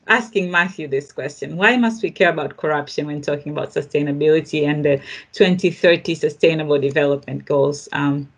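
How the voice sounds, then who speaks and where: A woman hosting a podcast, introducing the subject in a deliberate, halting way as she thinks aloud. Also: low voice. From Tanzania. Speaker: podcast host.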